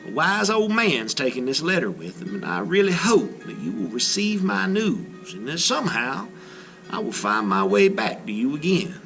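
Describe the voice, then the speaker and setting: A man's voice: a little high, very animated, moderate pace, gravelly, fairly bright tone, soft background music, exaggerated voice. A man voicing a wistful, wonder-filled young traveler writing letters home in a children's storybook. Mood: wistful, hopeful. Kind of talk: children's storybook read-aloud.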